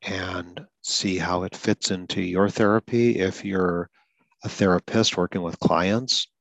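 A man who hosts a podcast for people who stutter, calmly talking through a goal-setting exercise with some small repetitions. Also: sits low, measured pace, gravelly, no echo, low-quality recording. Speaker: podcast host and stuttering advocate. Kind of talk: solo podcast explanation.

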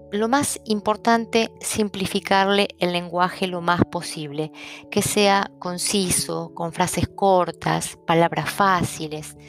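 Calm Argentine woman, lowish voice. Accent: Argentine Spanish. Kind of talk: personal talk on stuttering and languages.

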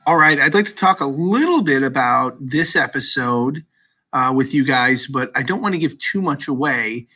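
A man's voice: mid-range voice, animated, moderate pace, pushed and bright. A man hosting a podcast, interviewing guests in a hesitant way and often repeating words. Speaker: podcast host.

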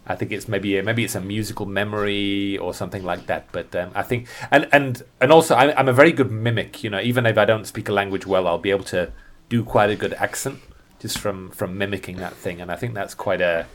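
Male podcast guest, tour guide type, lowish voice, conversational, thinks out loud. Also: fairly quick.

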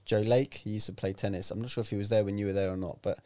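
Chatty man in his forties, low voice. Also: fairly even intonation, rapid-fire, warm tone. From Greece. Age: 40s. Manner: chatty, explains with examples. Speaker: public speaking coach. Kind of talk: casual podcast chat.

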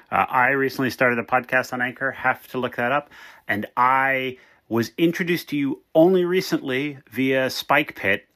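A man's voice: medium pitch, sharp tone, no room sound, clean studio sound. A man from the United States leaving a rambling voicemail for a podcast, full of fillers and restarts. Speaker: caller. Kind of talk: voicemail call-in message.

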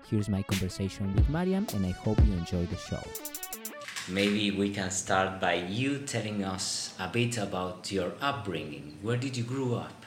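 A man's voice: mid-range voice, darkish tone, no room sound, clean studio sound. A man hosting a podcast interview, joking and theatrical, with mock asides to himself. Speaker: podcast host, student ambassador. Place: Netherlands.

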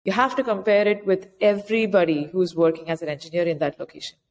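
A woman with a slightly low voice gives informal, practical explanations as an interview guest. She lays points out one by one with examples. The recording has long reverb and a faint noise behind her. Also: steady tone, quick pace, darkish tone. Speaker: interviewed guest, author giving practical advice. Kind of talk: conversational explanatory interview answers. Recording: noisy, very reverberant room, clicks.

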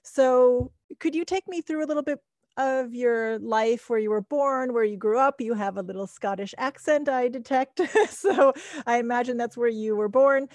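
A woman's voice: fairly high pitch, normal speed, no room sound. A woman hosting an interview show, affirming her guest and asking about her life. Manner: warm and affirming, laughs often. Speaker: podcast host.

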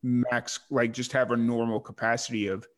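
A man hosting a music interview show, speaking in a relaxed way full of likes and you-knows. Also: mid-range voice, normal speed. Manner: relaxed, filler-heavy, uses like and you know. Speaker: podcast host. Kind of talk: casual interview chat.

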